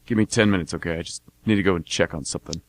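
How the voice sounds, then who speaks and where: A man's voice: low voice, racing, natural voice. A man playing a laconic, slangy army veteran chatting as a cab passenger in an amateur radio drama. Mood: wistful, guarded. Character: gruff male army veteran cab passenger, guardedly chummy.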